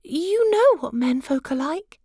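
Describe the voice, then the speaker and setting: A woman acts out a little female butterfly, an excitable storybook wife who nags. Her voice is very high and fluttery, leaping about in pitch. It is recorded dry. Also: measured pace, dry recording, exaggerated voice. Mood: fluttery, exasperated. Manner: fluttery, emphatic.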